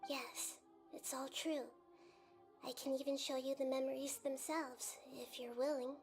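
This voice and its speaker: A woman voicing a small, wise girl goddess who speaks gently and calmly, often close to a whisper. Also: high voice, faint music behind. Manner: soft, measured, often near a whisper. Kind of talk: game cutscene dialogue.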